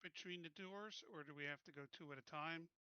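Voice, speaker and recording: A man with a fairly high, very bright and forceful voice, decisively directing fellow players in a tabletop game. His delivery is casual, and the recording is dry and slightly dull. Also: a little fast, clear, resonant tone, dry recording. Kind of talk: casual tactical planning in a game.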